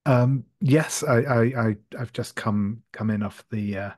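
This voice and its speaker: A man's voice: mid-range voice, unhurried, no echo, close to an everyday voice. Man as a hesitant, polite traveller, dry sound. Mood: awkward, polite. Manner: hesitant, stammering. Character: polite, awkward male traveller, unsure and mild. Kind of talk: improvised tabletop roleplay. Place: home room on a video call.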